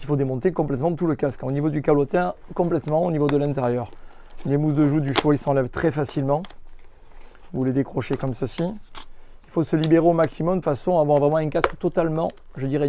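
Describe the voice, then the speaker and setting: A man's voice: a little high, dark tone, slight background noise. A man presents a hands-on product tutorial as a shop presenter, unscripted and instructive, often stressing what matters. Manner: instructive, emphatic, repeats reminders of what matters. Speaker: motorcycle accessory shop presenter.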